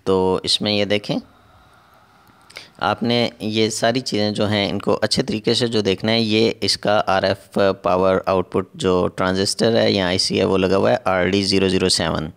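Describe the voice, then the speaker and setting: Guy with a lowish gravelly edge walking thru a repair step by step. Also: fairly even intonation, normal speed. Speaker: electronics repair instructor. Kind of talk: step-by-step repair tutorial narration.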